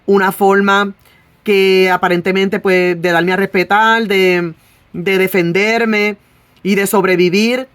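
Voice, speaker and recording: A woman from Rico, preaching earnestly and sharing her own testimony, drawing out key words and pausing on fillers. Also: mid-range voice, little pitch movement, dry recording. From Puerto Rico. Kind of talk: sermon with personal testimony.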